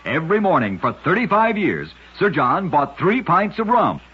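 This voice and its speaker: A man hosting an old-time radio show, narrating short scripted oddity tales in a husky, rough-edged voice. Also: on the high side, big pitch swings, moderate pace. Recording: dull, lo-fi old radio recording.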